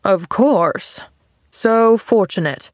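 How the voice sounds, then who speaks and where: Woman playing a gruff, sardonic, grumpy man. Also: mid-range voice, animated, unhurried, no room sound, studio quality. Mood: dry sarcasm. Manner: gruff, testy, sarcastic. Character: grumpy, sardonic, bedridden man with a bitter temper. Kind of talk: audiobook character dialogue.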